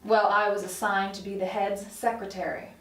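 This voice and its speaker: A woman acting a brisk, sardonic secretary in her sixties in a table read of a TV dramedy, with a dry, officious manner. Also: medium pitch, flat delivery, fairly bright tone, lightly acted. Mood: dry, weary. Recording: roomy echo, distant microphone.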